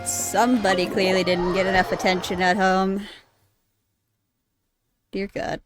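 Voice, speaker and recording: A woman's voice: mid-range voice, level tone, unhurried, soft background music. Young woman streaming, casual and candid. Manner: candid, sweary. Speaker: live streamer. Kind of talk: casual game stream commentary.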